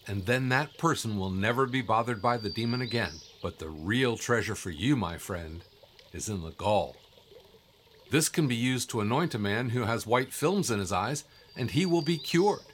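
An actor playing a calm, wise and assured guide in an audio drama, instructive and encouraging in manner. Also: male voice, medium pitch, a little hiss or hum, natural voice. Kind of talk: scripted audio drama acting.

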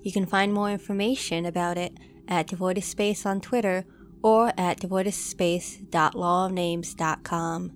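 A woman reading scripted narration for a horror audio drama trailer in a measured, dramatic delivery. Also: on the low side, fairly even intonation, slight background noise. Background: music.

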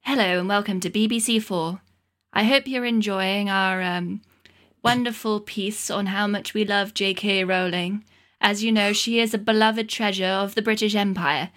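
Woman as a bright, formal British radio announcer. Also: mid-range voice, steady tone, normal speed, close to an everyday voice. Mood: polite, formal. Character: polished, earnest British radio continuity announcer.

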